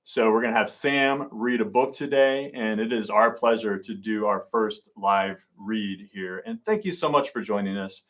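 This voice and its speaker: A man's voice: fairly low pitch, normal speed, few pauses, a bit bright. A man, a teacher, introducing a live student broadcast in plain spoken remarks.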